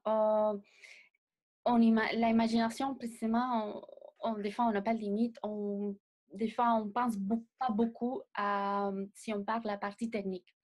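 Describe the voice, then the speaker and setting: A young woman, not a native speaker of the language, answering interview questions as a designer in a measured way and often pausing to find words. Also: fairly high pitch, steady tone, darkish tone. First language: not French. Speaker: designer guest.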